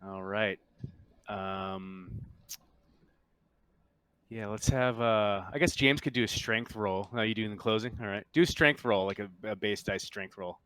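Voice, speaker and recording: A man working as game master, narrating a tabletop game in a halting, conversational, improvised way. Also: on the low side, fairly bright tone, clean tone, no room sound.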